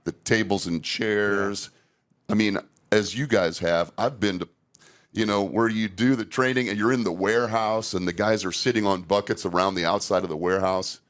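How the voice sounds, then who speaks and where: A man's voice: on the low side, steady tone, moderate pace, a bit bright. An older man hosting a casual podcast conversation, speaking candidly as an experienced safety trainer.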